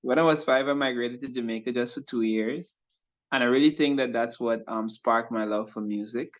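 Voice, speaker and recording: A young man from Guyana, talking through an interview as a guest in an earnest, thoughtful way with many pauses. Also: medium pitch. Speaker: interview guest, youth pastor and rapper. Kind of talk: casual interview chat. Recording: dull lo-fi audio.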